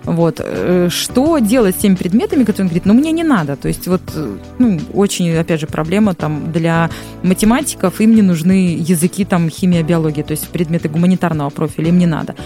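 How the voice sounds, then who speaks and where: A woman's voice: lowish voice, fast talker, mellow, soft background music. A woman host who talks conversationally and asks questions. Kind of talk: casual talk show conversation.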